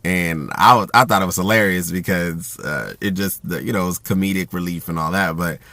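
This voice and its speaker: A man talking casually about communication in an even, self-described monotone, with a loud voice that carries and plenty of fillers and restarts. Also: low voice, fairly quick, gravelly, no echo. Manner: rambling with frequent fillers and restarts. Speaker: host.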